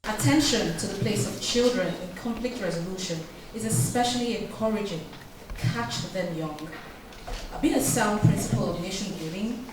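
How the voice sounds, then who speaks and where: A woman's voice: mid-range voice, normal speed. A woman reading a formal note aloud at a public event. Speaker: reader of a speaker's note. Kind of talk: formal speech read aloud. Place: event hall. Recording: noisy, very echoey hall, distant mic.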